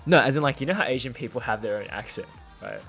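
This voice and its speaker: A man co-hosting a podcast, chatting casually and explaining things in a relaxed way with lots of likes and yeahs. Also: mid-range voice, quick pace, soft background music. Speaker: podcast co-host, English speaker. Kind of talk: casual conversational chat. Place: studio in Sydney.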